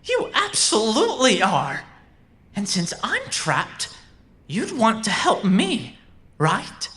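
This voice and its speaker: Swooping, slow, manipulative temptress. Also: female voice, medium pitch, wildly swooping pitch, a bit bright, full character voice. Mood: coaxing, intent. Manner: deliberate, persuasive. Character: coaxing, manipulative female captor. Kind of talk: cyberpunk audio drama acting.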